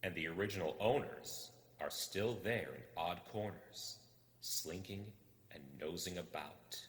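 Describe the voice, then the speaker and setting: Deep-voiced, theatrical male storyteller in echo. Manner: theatrical, deliberate pauses, hushed at times. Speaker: storybook reader. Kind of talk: dramatic, suspenseful scripted narration. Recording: echoey, long reverb.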